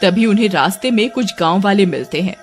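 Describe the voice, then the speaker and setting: A woman's voice: mid-range voice, expressive, brisk. Casting: female storyteller, mid-range voice, scripted narration with music underneath.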